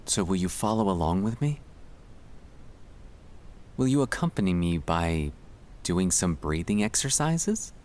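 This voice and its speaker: A man with a fairly low voice guides a listener toward sleep in scripted ASMR style. He speaks very slowly, with gentle reassurance. His pitch rises and falls widely in a soothing, expressive way.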